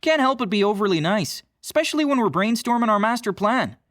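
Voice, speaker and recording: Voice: medium pitch, very animated, fairly quick, a bit bright, no echo, studio quality. An actor playing an over-polite cartoon Canadian who speaks hesitantly with a Canadian accent and keeps apologizing. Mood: eager, apologetic. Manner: hesitant, constantly apologizing, tags sentences with eh. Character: over-polite, apologetic Canadian teen stereotype under a spell. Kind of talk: scripted character dialogue.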